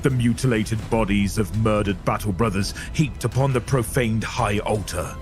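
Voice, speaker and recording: Low-voiced man narrating gravely over music. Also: normal speed. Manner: grave, portentous, theatrical. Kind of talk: dramatic scripted audio drama narration.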